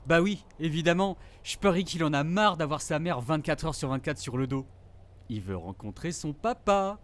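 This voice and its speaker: An actor playing a teasing, affectionate forest ranger in a naturalistic audio drama, with casual, slangy delivery. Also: male voice, a little high, lively intonation, moderate pace, lightly acted. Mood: playful.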